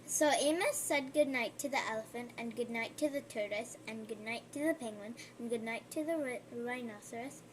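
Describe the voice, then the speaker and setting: A woman reading a children's picture book aloud, gentle and unhurried, stopping for friendly asides to her listener. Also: fairly high pitch, slight background noise. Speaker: storybook reader.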